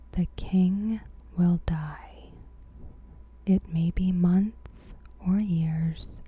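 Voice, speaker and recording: A woman softly whispering a scripted recap in ASMR style, breathy and gentle, with deliberate pauses. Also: fairly low pitch, lo-fi sound. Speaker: ASMR host.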